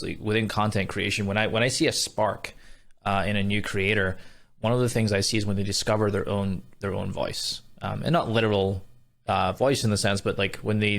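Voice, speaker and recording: A man's voice: fairly low pitch, vocal fry. A Scottish man hosting a podcast, talking in a conversational interview style. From Scotland.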